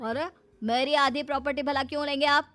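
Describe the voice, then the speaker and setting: A woman's voice: high-pitched, little pitch movement, a little fast, bright, forceful tone, soft background music. A woman voicing an aggrieved young husband who protests and pleads in a comic cartoon story. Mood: protesting. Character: young husband, whining, aggrieved, greedy groom.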